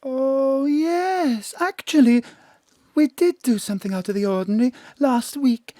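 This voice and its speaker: A woman's voice: high-pitched, unhurried, dark tone, dry recording. Prim, genteel lady. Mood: earnest, concerned. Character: anxious, genteel lady client fretting over lost pet.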